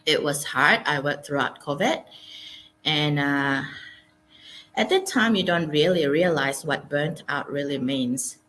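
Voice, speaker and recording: A woman's voice: sits low, lively intonation, measured pace, a bit bright, slight background noise. A woman in her forties from Malaysia, telling her career story as a guest, with frequent ums and uhs. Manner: hesitant, many ums and uhs. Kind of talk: casual interview storytelling. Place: Melbourne.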